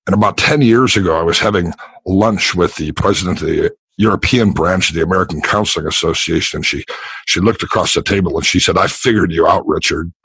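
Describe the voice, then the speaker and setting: Convidado masculino de meia-idade: timbre grave e rouco, entrega estável e comedida, gravação seca. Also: male voice, brisk, fairly bright tone, slightly rough, no echo, rough recording. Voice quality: deep, throaty voice. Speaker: guest, psychotherapist and business consultant. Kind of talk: podcast interview answers.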